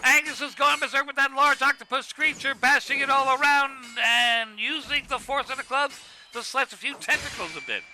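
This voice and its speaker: A man giving excitable, scripted play-by-play commentary on a fight. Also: very high-pitched, normal speed.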